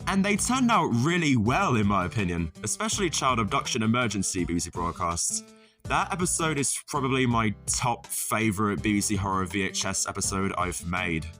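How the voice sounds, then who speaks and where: A man giving a casual tutorial voice-over as a video creator, with deadpan, self-deprecating, sweary humour. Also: fairly low pitch, fairly quick. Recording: music playing under the voice. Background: background music.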